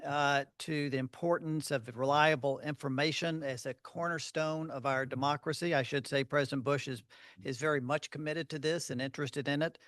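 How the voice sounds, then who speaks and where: A soft-spoken man giving a measured, formal introduction at a luncheon podium, with frequent fillers. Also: a little high, steady tone, no echo, studio quality. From Fort Worth, Texas. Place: luncheon hall.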